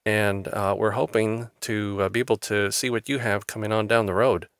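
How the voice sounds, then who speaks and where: A man hosting an interview podcast, talking in a halting way with many restarts and fillers. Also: on the low side, steady tone, a bit bright, smooth, dry recording, clean studio sound. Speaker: podcast host. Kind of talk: interview chat.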